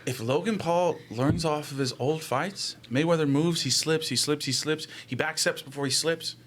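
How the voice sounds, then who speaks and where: A man's voice: fairly high pitch, moderate pace, a bit bright, slight background noise. A young man debating casually as a podcast co-host, with slangy, profane, argumentative talk. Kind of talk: casual group chat, sports debate. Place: small room, indoor podcast setup. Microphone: close podcast microphone with headphones.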